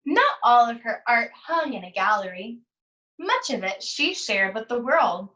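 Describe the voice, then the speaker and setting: Bright-voiced woman reading a storybook slowly. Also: a little high, far from the mic, rough recording. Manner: deliberate, expressive phrasing with pauses. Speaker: art teacher reading aloud. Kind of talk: slow storybook read-aloud for children.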